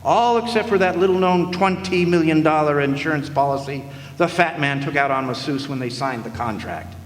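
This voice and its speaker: A man's voice: a little high, normal speed, lightly acted. An actor in a live radio play playing a wisecracking hardboiled male private eye who narrates in a dry, sardonic way. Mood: wry. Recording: echoey hall with faint noise.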